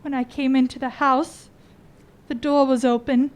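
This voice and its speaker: A woman acting a lovelorn, earnest gentleman who speaks haltingly in a radio drama. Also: high voice, flat delivery, clear, resonant tone, natural voice. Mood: halting, sorrowful. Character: lovelorn gentleman suitor, hesitant, earnest witness.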